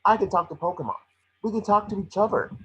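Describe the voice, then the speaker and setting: A man playing a small, cocky, wisecracking mouse detective in a table read, with a rough-edged husky voice. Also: sits high, a bit bright, exaggerated voice, poor audio. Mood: pleading. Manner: fast-talking wisecracking patter. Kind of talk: animated script table read.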